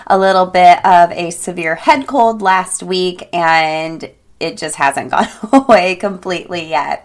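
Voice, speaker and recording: A woman in her thirties hosting a podcast, talking casually and upbeat, hoarse from a cold and laughing often. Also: mid-range voice. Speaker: podcast host and personal trainer.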